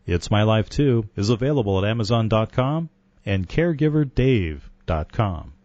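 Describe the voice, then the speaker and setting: Low-voiced man reading promos. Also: lively intonation, normal speed, darkish tone, dry recording, muffled recording. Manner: emphatic, list-like promo delivery. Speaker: ad announcer. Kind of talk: scripted promo read.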